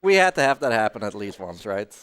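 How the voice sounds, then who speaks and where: A man's voice: fairly low pitch, very animated, a little fast, fairly bright tone, clean tone, no echo, studio quality. A man giving casual, jokey live commentary as he plays through a video game. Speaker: speedrunner. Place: live gaming marathon event. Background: game music.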